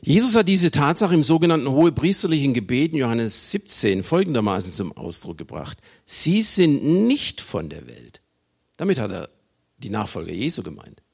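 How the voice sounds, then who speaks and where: Male preacher from Germany: creaky voice, swooping pitch, emphatic phrasing with pauses, speaking to a congregation. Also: big pitch swings. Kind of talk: sermon to a congregation.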